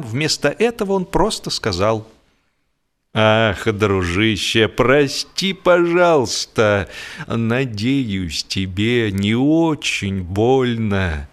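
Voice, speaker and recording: A man reading a humorous literary story as a scripted audiobook narrator, in a measured, mock-solemn and theatrical manner. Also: mid-range voice.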